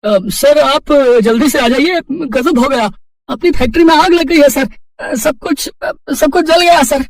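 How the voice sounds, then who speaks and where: A man's voice: very high-pitched, unhurried, dry recording, far from the mic, voice pushed up, low-quality recording. A man voicing a panicked, deferential factory manager in a story, frantic and stammering.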